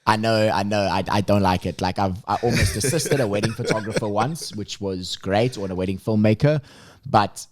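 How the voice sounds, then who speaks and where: Deep-voiced young South African man. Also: a little mellow. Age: young adult. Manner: laid-back, slangy, quick to laugh. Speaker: podcast guest. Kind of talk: casual chat.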